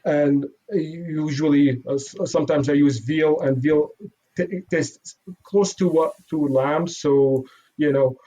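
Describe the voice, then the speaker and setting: A man from Iraq, a modest interview guest who talks haltingly with many restarts. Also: on the high side, steady tone, warm tone. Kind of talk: casual interview. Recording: dull lo-fi sound.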